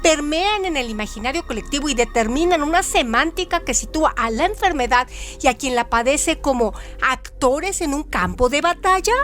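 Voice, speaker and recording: A woman's voice: high voice, animated, moderate pace, fairly bright tone. A woman presenting on radio, reading a scripted literary essay in a formal, didactic way. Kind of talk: scripted literary essay narration with question hooks. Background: background music.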